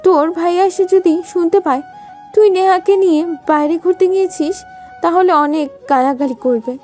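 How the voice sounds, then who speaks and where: A woman's voice: extremely high pitch, moderate pace, a little mellow, soft background music. A woman playing a strict, watchful elder sister-in-law with a stern, warning manner in an audio drama. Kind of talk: Bengali audio love-story acting.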